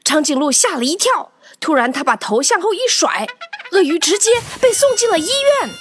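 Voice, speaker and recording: High, animated woman storyteller. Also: normal speed, soft background music. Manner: animated, acts out the tale for children. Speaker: children's storyteller. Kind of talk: expressive scripted story narration.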